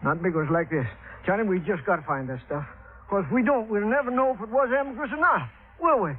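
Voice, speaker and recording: A man's voice: high voice, expressive, fast. An actor playing an anxious, gullible male insurance agent, hesitant, folksy and sheepish, in an old radio drama. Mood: worried, sheepish. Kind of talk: 1950s-60s radio drama acting. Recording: tinny old radio recording.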